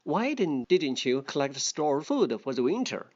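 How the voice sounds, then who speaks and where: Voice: medium pitch, sing-song, unhurried, darkish tone. A narrator voicing stern, hard-working ants who scold an idler in a children's fable. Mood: reproachful questioning. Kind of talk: storybook dialogue read for children. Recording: dull, muffled lo-fi sound.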